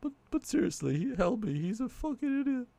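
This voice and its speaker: A man's voice: extremely high pitch, big pitch swings, fairly quick, vocal fry, dark tone, no echo, voice pushed up, full character voice. A man voicing a grumpy male puppet, a former Shakespearean actor stuck with a put-on Southern colonel drawl, theatrical and insulting. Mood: blustering. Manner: theatrical, insulting, self-pitying bluster. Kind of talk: comic puppet bit on a livestream.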